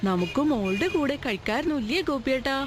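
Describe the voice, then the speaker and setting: A woman's voice: high-pitched, lively intonation, a little fast, background noise. An actress playing a wife and mother in a radio drama, with a Malayali accent. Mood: concerned. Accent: Malayali, from Kerala. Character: wife and mother travelling with her husband.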